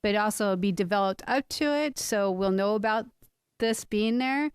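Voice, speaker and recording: A woman from city staff presents an item to a planning commission. Her voice is smooth and clear, and she speaks plainly. The sound is dry. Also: mid-range voice, normal speed, no echo. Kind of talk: staff presentation at a public meeting.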